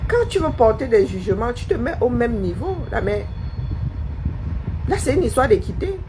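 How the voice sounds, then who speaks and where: A woman's voice: a little high, very animated, normal speed, background noise. A woman live-streaming a scornful monologue, firing challenging rhetorical questions at a critic who commented.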